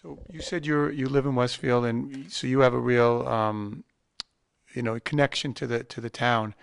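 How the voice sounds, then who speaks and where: Gravelly male interviewer, dry sound. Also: medium pitch, fairly even intonation, no room sound. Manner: halting questions with restarts. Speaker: host.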